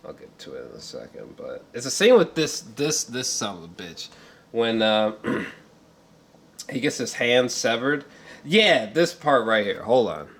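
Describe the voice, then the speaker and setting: A man giving casual, unscripted film commentary as a reviewer, rambling with repeated words and throat clearing, in a slangy, profane and emphatic way. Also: medium pitch, sing-song, a little slow, a bit bright.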